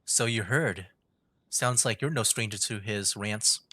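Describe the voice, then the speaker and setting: A woman's voice: rumbling low, measured pace, a bit bright, no echo. A woman streaming a game with casual, wry, slangy reactions in a laid-back manner.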